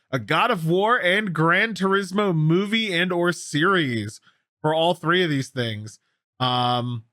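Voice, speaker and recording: A man's voice: high voice, pushed and bright, no room sound, clean studio sound. A man co-hosting a gaming news show, talking casually and emphatically, repeating himself for effect and swearing freely. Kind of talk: casual news commentary with co-host.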